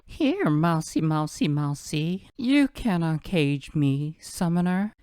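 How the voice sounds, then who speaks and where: A man's voice: fairly high pitch, very animated, slow pace, darkish tone, clear, resonant tone, no room sound, clean studio sound, voice pushed up. A man voicing a sinister, predatory wild game creature, taunting and menacing.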